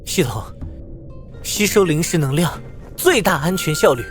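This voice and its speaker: A man playing a shrewd, ambitious young mecha-builder hero, assured and persuasive, in an animated fantasy drama. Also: on the high side, unhurried, soft background music. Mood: commanding.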